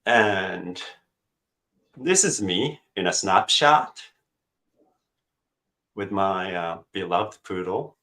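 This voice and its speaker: A man's voice: low voice, animated, extremely slow, pauses often, bright, forceful tone. A middle-aged man from Japan with a Japanese accent, chatting as a guest over a video call, self-joking and hesitant with fillers. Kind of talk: casual interview chat.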